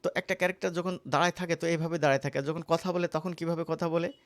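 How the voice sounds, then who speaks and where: A man with a Bangladeshi accent giving a casual, conversational software tutorial as an instructor, often checking in with the listener. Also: a little high, fairly even intonation, fast talker. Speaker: animation course instructor.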